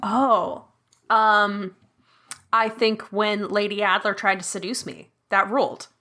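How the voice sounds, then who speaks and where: A woman with a bright voice and mid pitch, chatting as a podcast guest. She sounds bubbly, laughs easily and fills her talk with fillers. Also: fairly even intonation, takes it slowly. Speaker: podcast guest, audio drama producer. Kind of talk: casual chat.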